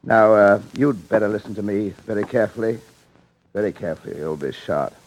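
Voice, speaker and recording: A man playing a brusque, coolly menacing middle-aged gentleman father in a suspense radio drama, clipped and insistent. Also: lowish voice, a little fast, a little hiss or hum, natural voice, low-quality recording. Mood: coolly threatening. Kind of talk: old-time suspense radio drama acting.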